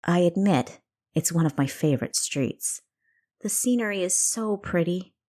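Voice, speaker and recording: A reserved elder sister who serves as a city guard in a fantasy audiobook, voiced by a woman narrator. She is practical and matter-of-fact, with a slightly low woman's voice. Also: animated, unhurried, mellow. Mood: pleased, measured.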